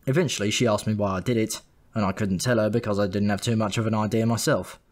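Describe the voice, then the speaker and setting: A man with a fairly low voice narrates a comic first-person story. His delivery is wry and dry, like a scripted audiobook read. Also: fairly even intonation, fast.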